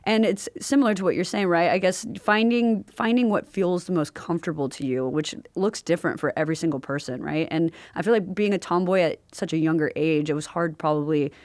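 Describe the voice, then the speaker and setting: A woman's voice: fairly low pitch, fairly quick, hardly any pauses, darkish tone, no echo. Casual woman podcaster, close dry sound. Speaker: podcast host. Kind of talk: casual chat.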